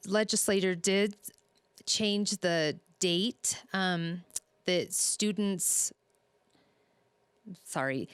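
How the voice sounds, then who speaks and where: A woman's voice: medium pitch, fairly bright tone, clear, resonant tone, dry recording. A woman speaking as a school superintendent, giving an earnest, reassuring public update to families in a semi-scripted way.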